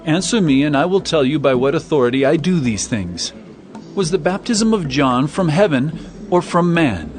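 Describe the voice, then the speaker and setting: An authoritative male teacher, higher-pitched, animated, solemn declamation, scripture audio drama, faint music behind. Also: moderate pace. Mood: challenging.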